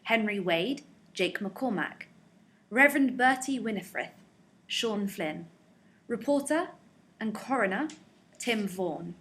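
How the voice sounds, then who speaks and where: A woman's voice: mid-range voice, lively intonation, slow, pauses often, fairly bright tone. A woman announcer reading out scripted cast credits for a radio play.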